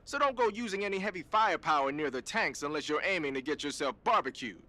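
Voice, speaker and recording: A man's voice: a little high, expressive, quick pace, bright, forceful tone. An actor playing a cool, slangy male weapons expert who briefs casually in a video game. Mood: breezy, instructive. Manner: casual, streetwise, chatty.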